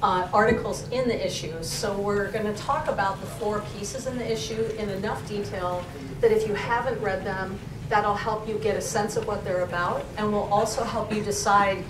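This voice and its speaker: A woman English professor talks through her subject informally and corrects herself as she goes. She is picked up from some distance in an echoey library room. Steady noise and a low rumble sit behind her voice. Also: normal speed. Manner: informal, thinking aloud with frequent self-corrections. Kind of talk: conversational lecture. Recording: roomy, distant and noisy, with rumble.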